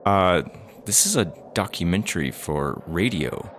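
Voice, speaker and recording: A man playing a polite, hesitant radio documentary producer in an audio drama, speaking in a halting, formal way. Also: low-pitched, lively intonation, fairly bright tone. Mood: apologetic, polite. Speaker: interviewer. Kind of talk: acted documentary interview. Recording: clear background noise.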